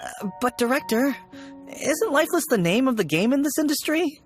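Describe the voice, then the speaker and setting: A woman's voice: high-pitched, big pitch swings, moderate pace. A woman voicing a timid young male assistant in a game, hesitant and weary, sighing and stammering. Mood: resigned. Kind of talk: video game voice acting. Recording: game audio over music. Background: game music.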